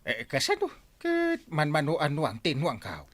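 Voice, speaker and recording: Man as playful village captain, Ilocano accent, wildly swooping pitch, proud, radio drama comedy. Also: wildly swooping pitch, a little slow, fairly bright tone, exaggerated voice. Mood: playful, boastful. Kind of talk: Ilocano audio drama acting.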